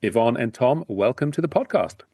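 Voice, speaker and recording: A man with a medium-pitched voice hosts a podcast. He talks in a casual, conversational way, as one would with a familiar co-host and guests. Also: lively intonation, fairly quick, warm tone, slightly rough.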